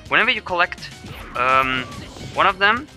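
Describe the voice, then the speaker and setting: Bright-voiced German man narrating gameplay. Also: high voice, very animated, normal speed, faint music behind. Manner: rambling, hesitant with many fillers. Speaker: let's player. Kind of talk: casual gameplay commentary.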